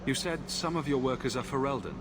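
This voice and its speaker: A man voices a young adventurer hero in a fantasy video game. He is sardonic and quick with a dry jab. The pitch is average for a man but moves in lively swings. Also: heavy background noise, lightly acted. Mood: wry, probing. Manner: dry, sarcastic.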